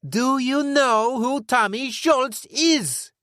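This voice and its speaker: A man's voice: on the high side, huge pitch swings, a little mellow, breathy edge, no echo, studio quality, voice pushed up, full character voice. A man voicing a sneering crime boss. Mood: shouting, scornful. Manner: slow, pointed, mocking questioning. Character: sneering, menacing male crime boss, cold and sarcastic.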